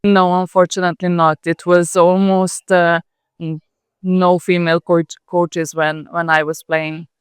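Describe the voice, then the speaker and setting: A Serbian woman, a national coach, answering interview questions slowly with many pauses and fillers. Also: on the low side, little pitch movement, clean tone, no echo.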